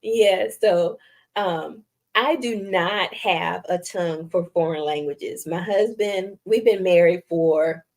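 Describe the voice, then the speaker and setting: A woman's voice: lowish voice, slow pace, a little mellow. A middle-aged woman with a Southern US accent and a light, youthful voice, chatting casually with viewers on a live stream and laughing often. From United States. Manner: chatty, giggly, self-deprecating, reads viewer comments aloud.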